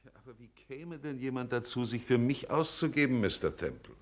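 An actor in a 1950s German radio play as an irritated, defensive gentleman who speaks formally and emphatically. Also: mid-range voice, expressive, a little fast, natural voice. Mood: puzzled.

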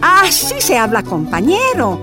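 High-voiced, slow-speaking older woman miners' leader. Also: wildly swooping pitch, loud music behind the voice, heavily acted. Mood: eager, resolute. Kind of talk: radio drama acting, first-person testimony.

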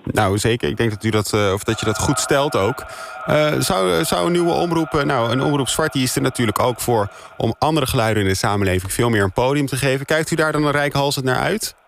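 A man's voice: mid-range voice, expressive, fairly quick, vocal fry, slight background noise. A man hosting a live radio phone-in, interviewing callers and summing up their points. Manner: paraphrases callers' points back. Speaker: radio host.

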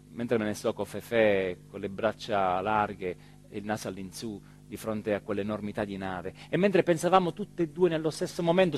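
An actor playing an excitable small-town mayor in a theatrical radio monologue, with an emphatic delivery that swings from hushed to shouted. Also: male voice, on the low side, a little hiss or hum, close to an everyday voice. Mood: confiding, earnest. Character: excitable small-town Italian mayor recounting his dream and a night emergency.

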